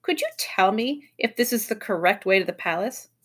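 Woman playing a brisk, polite storybook professor. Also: mid-range voice, lively intonation, husky. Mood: bright, polite. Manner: bright, decisive, courteous.

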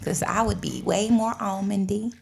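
A woman's voice: medium pitch, moderate pace, gravelly. A woman, talking casually as a podcast co-host. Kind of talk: casual group chat.

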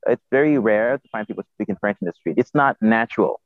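A man's voice: fairly low pitch, lively intonation, fast, dry recording. A man from Haiti with a Haitian accent, a native Haitian Creole speaker, answering interview questions hesitantly and repeating words as he searches for the next. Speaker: podcast guest, self-taught polyglot. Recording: tinny phone-like line.

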